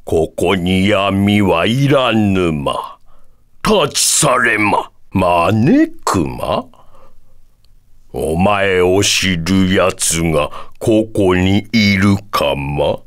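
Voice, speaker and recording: A man voicing a solemn, long-lived devil in a Japanese video game, pompous and drawn-out, with a quirky verbal tic at sentence ends. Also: low-pitched, very animated, exaggerated voice. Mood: stern.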